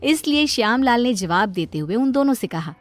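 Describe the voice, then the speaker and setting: High-pitched woman narrating a story. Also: lively intonation, normal speed. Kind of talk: scripted moral story narration. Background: light background music.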